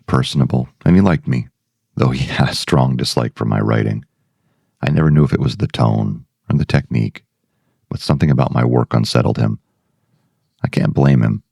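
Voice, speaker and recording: Brooding male writer man acting, obsessed with darkness. Extremely low, husky voice, ominous and deliberate, for a dramatic monologue. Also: a little mellow, no echo, studio quality, full character voice. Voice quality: very deep, gravelly, husky.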